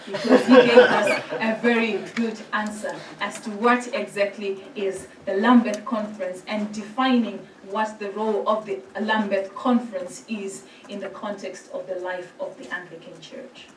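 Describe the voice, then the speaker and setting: A woman from Zimbabwe, a priest giving a formal address and reading scripture in a measured, gentle way. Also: mid-range voice, a little hiss or hum. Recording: roomy hall sound from a distant mic.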